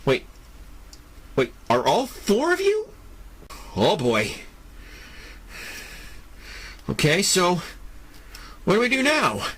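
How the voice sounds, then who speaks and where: A man performs a startled, protesting superhero in an amateur audio drama. The voice is bright and pitched high, swinging widely as he stammers and repeats his exclamations, with faint noise behind it. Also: wildly swooping pitch, takes it slowly, heavily acted. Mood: confused.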